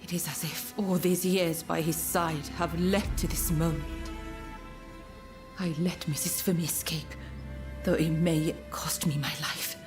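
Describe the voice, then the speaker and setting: Soft, slow-speaking devoted young woman. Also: sits low, steady tone. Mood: anxious, fearful. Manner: soft, hesitant, formally polite delivery. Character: earnest, devoted young Japanese woman, anxious and tender. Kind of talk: dramatic video game cutscene acting. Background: music.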